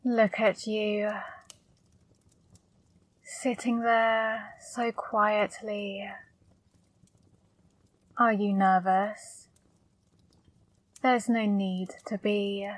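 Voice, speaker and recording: A woman playing a flirty, teasing female demon in an intimate ASMR roleplay, speaking softly and breathily, coaxing and gently scolding up close. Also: medium pitch, flat delivery, extremely slow, pauses often, no room sound. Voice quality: soft, breathy, partly whispered. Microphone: close microphone, dry.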